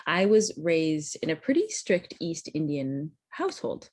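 A woman's voice: deep voice, big pitch swings, measured pace, darkish tone, husky. A woman in her thirties, a physician guest on a podcast, talking in a chatty way with many likes and restarts. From Canada. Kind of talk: casual interview chat.

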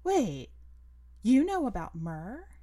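A woman voicing an excited, friendly teenage girl gamer who talks in an eager, chatty, questioning way. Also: on the high side, slow pace, no room sound, heavily acted. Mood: surprised, eager.

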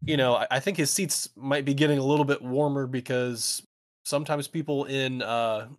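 A man's voice: medium pitch, steady tone, normal speed. A man co-hosting a casual sports podcast, often stumbling and repeating words as he starts a thought. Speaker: podcast co-host.

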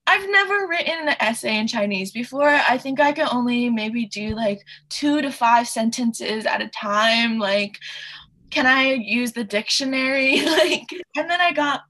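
A woman's voice: on the high side, measured pace, pushed and bright, rough recording. An American woman whose first language was Mandarin, chatting casually as a podcast guest, with many fillers and little laughs. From United States (Connecticut). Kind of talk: casual conversation.

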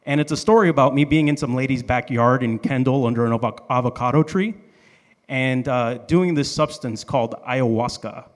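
A man with a medium-pitched voice tells a personal story to a live audience. He is informal and joking, and his voice rings with the long reverb of a hall. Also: moderate pace. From Dominican Republic and Miami, USA.

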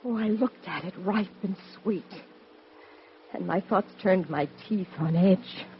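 An actress playing Eve, the first woman, in an old radio drama, speaking in a hushed, urgent way. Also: medium pitch, measured pace, a little hiss or hum, lightly acted. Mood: tempting. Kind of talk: 1950s radio drama acting. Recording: dull old radio broadcast.

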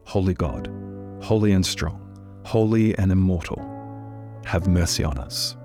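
A man with a head cold and a hoarse voice, leading prayers and giving an earnest, unhurried reflection as a church minister. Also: deep voice, over music.

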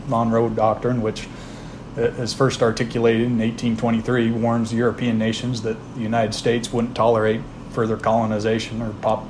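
A man hosting a podcast, talking through history in a plain, unhurried way with frequent "uh" fillers and restarts. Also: mid-range voice, noisy background. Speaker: podcast host. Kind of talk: informal history talk.

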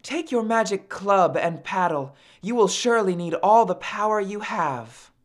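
A goddess mother from a storybook, acted by a woman. Her voice is slow and widely swooping, expressive and cautious as she counsels. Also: mid-range voice, lightly acted. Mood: warning. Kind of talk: storybook character acting.

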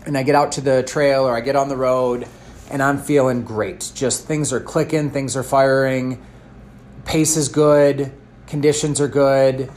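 A man's voice: medium pitch, fairly even intonation, unhurried, darkish tone, a little hiss or hum. A man in his thirties, a fitness coach, answering a question in an earnest, thoughtful way, often restarting his sentences. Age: 30s.

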